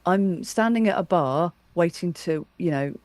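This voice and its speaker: A woman interviewer in reflective, casual conversation, telling anecdotes in a halting, self-correcting way. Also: on the low side, expressive, a little mellow, no room sound.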